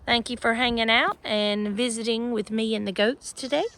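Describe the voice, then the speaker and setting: A woman reading a rhyming picture book aloud to children in an expressive sing-song manner, with chatty asides, outdoors on a farm with goats. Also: fairly high pitch, normal speed.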